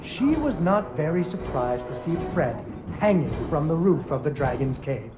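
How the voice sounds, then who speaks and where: An actress voicing a gentle woman narrating a bedtime puppet story to a child, in a soft, sing-song, fond manner. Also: low-pitched, big pitch swings, moderate pace. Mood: storytelling. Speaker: storyteller in a video game. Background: game music.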